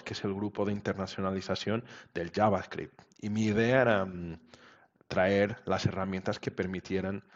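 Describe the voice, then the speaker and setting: Low-voiced man, lo-fi sound. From Portugal. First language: Portuguese. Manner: thoughtful, many restarts and tag questions. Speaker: guest, web standards expert. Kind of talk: casual interview answers.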